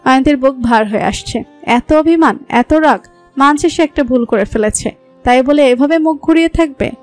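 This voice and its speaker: A woman narrating a romantic story in a smooth, even storytelling voice. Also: sits high, normal speed. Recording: soft background music.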